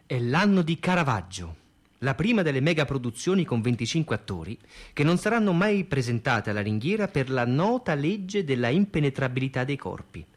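A man's voice: medium pitch, big pitch swings, brisk. A man reading scripted theatrical narration and reviews.

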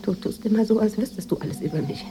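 A woman's voice: medium pitch, quick pace, noisy background, natural voice. An actress in a radio drama playing a weary, imperious woman writer who speaks in a curt, brooding way. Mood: irritated, commanding. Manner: curt, haughty, introspective. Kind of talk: 1980s German radio drama acting.

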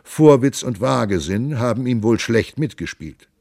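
A man's voice: medium pitch, expressive, normal speed, gravelly, darkish tone, no echo, studio quality. A man voicing a noble, hearty giant prince in an audiobook reading, with stately, emphatic old-fashioned speech. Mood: hearty, commanding.

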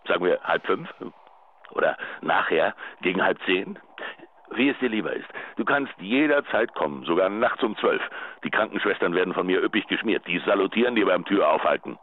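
A man's voice: sits low, expressive, normal speed. An actor playing a doting, possessive older man who coaxes and pleads tenderly in a radio drama phone message. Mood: coaxing. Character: doting, possessive older man, self-styled old grumbly bear. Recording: dry, narrow answering machine phone line.

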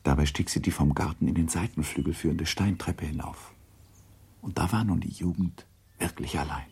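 A man narrating a literary radio drama in a measured, deliberate storytelling manner, with a gravelly, husky voice. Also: extremely low pitch, fairly even intonation, a little mellow, heavily acted. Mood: measured, descriptive. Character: measured narrator of a classic novel.

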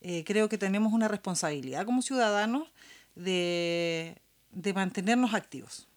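A woman's voice: medium pitch, moderate pace. A woman with a Chilean accent co-hosting a casual political discussion, speaking colloquially and repeating words for emphasis. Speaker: podcast co-host.

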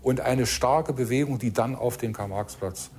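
A man from East Germany gives an eyewitness recollection, halting and deliberate, careful about detail. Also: mid-range voice, darkish tone, a little hiss or hum. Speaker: eyewitness interviewee. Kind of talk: spontaneous spoken recollection.

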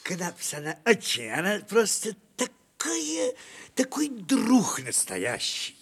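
An actor playing a tipsy, boastful middle-aged army lieutenant in a theatrical radio play, coaxing, rambling and repeating himself emphatically. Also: male voice, high voice, wildly swooping pitch, measured pace, slightly rough, heavily acted. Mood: sentimental, bragging.

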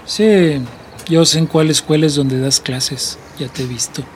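A somewhat high male voice with a Mexican accent. He is an actor as a shady border smuggler in a radio drama, cocky, brusque and full of slang. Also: a little slow, a little hiss or hum, lightly acted. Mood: sizing up. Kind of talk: radio play acting.

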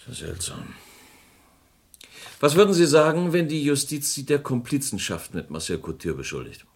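An actor playing a stern police inspector in a German radio crime drama, formal and measured, probing with suspicion. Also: male voice, medium pitch, very animated, breathy edge.

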